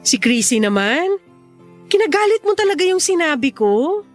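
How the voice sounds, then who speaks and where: An actress playing a frank, reasonable neighbor woman in a radio drama, pleading with gentle reproach. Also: very high-pitched, sing-song, normal speed, faint music behind. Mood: exasperated. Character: frank, reasonable female neighbor, earnest and exasperated.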